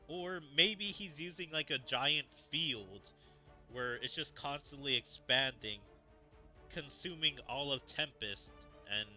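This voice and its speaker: Bright-voiced male streamer musing over a game. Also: mid-range voice. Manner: musing, casual with many "like" fillers. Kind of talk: gameplay commentary. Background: game music.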